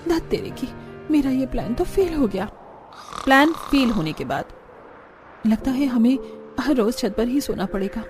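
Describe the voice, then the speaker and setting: A woman's voice: a little high, expressive, normal speed, long pauses, soft background music, natural voice. A woman voicing a scheming young daughter-in-law who grumbles to herself in a cartoon. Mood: frustrated.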